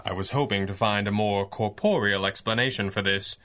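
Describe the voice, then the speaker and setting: An actor playing a clever masked crime fighter in a 1930s-style radio adventure, speaking formally with dry understatement and command. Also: male voice, on the low side, a little fast, fairly bright tone, close to an everyday voice. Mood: dry, thoughtful. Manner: formal, wordy, dryly understated. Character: masked male mystery-man hero, clever, dry, commanding.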